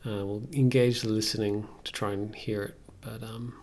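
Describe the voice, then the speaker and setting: A man's voice: fairly low pitch, level tone, moderate pace, a little mellow, a little hiss or hum. A young man chatting casually through a tutorial on a livestream, thinking aloud in a tentative way as he works. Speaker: music production livestreamer. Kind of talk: casual tutorial chat while working. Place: indoors at a computer desk.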